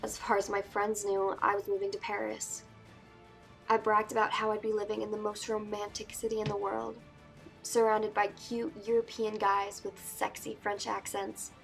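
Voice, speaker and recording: Woman as teenage girl narrator, fantasy audiobook, sardonic yet wistful, medium pitch, music underneath. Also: completely flat delivery, moderate pace, vocal fry, close to an everyday voice. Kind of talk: first-person dramatic book reading.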